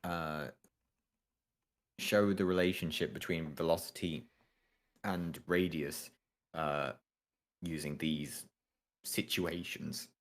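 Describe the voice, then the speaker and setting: A man's voice: very deep voice, fairly even intonation, slow pace, long pauses. A young man casually explaining astrophysics equations in a hesitant, offhand way, with many ums and the odd swear. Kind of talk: casual study chat.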